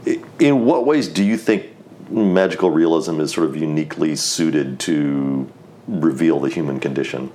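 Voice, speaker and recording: A man interviewing an author, asking long, measured questions and reading quotations from the book aloud. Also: rumbling low, dark tone. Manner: reads quotes aloud. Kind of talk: interview conversation.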